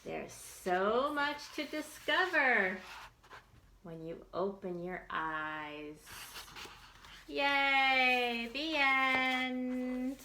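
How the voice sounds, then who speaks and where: A woman leads a children's storytime with a bright, slightly high voice. She takes her time over short, simple phrases. She speaks straight to young listeners, adding small questions and asides as she reads. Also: expressive, very slow, a little hiss or hum. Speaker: children's librarian storytime host.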